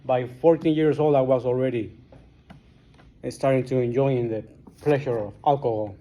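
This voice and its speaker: A man in his forties from Guatemala with a Guatemalan Spanish accent, speaking English as a second language and telling his recovery story at a meeting in a plain, halting way with many restarts. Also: mid-range voice, steady tone, dark tone.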